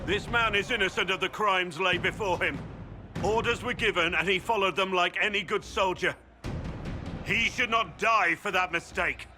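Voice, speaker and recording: A man's voice: high-pitched, normal speed, over music, close to an everyday voice. A man playing a proud warrior in a video game, making a solemn public declaration of guilt. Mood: urgent, commanding. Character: proud, bearded male warrior publicly owning his crime.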